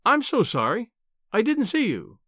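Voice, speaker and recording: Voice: medium pitch, wildly swooping pitch, measured pace, fairly bright tone, dry recording, clean studio sound, voice pushed up, full character voice. A reader voicing a small Edwardian wife with a furious temper, screaming and scolding in an audiobook. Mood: flustered apology.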